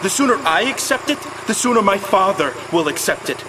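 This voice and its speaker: Defiant young heir in a cartoon, pretty high voice, heartfelt, noise in the background. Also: male voice, big pitch swings, brisk. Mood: resolute defiance. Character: earnest, defiant rich heir, young man.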